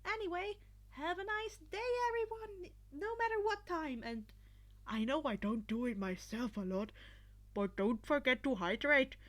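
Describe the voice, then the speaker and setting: A woman reading fiction aloud with expressive, dramatic narration and a casual, informal touch. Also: high-pitched, normal speed. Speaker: podfic reader.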